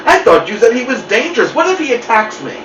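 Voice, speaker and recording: A man voicing an excitable, nervous family dog in a children's story, incredulous and easily flustered. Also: extremely high pitch, quick pace, background noise, voice pushed up. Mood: alarmed, puzzled. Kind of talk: animated children's story reading. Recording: muffled, roomy, distant mic.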